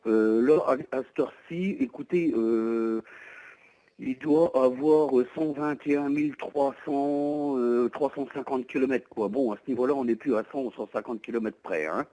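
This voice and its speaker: A man's voice: medium pitch, normal speed, warm tone, poor audio. A man explaining as a private seller on the phone, hesitant, wordy and polite. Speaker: private seller of a used van. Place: at home, on the phone. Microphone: telephone.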